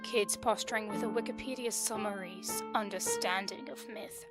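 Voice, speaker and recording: A woman's voice: medium pitch, steady tone, moderate pace, close to an everyday voice. A woman playing a sarcastic, combative, articulate interviewer in an amateur comic dub. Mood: contemptuous. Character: scathing skeptical woman interviewer, sweary and combative. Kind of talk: amateur fandub voice acting. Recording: music behind the voice.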